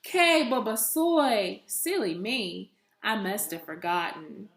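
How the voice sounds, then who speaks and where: A woman voicing a busy, forgetful, easygoing grandmother in a storybook, with emphatic, unhurried phrasing. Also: mid-range voice, gravelly. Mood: self-chiding. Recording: echoey room.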